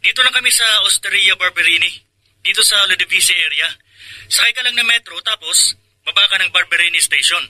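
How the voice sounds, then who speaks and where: A man's voice: high voice, fairly quick, pushed and bright, dry recording, exaggerated voice, poor audio. A man with a Filipino accent playing a chatty, helpful young coworker in a radio drama. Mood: apologetic, helpful. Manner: chatty, explanatory.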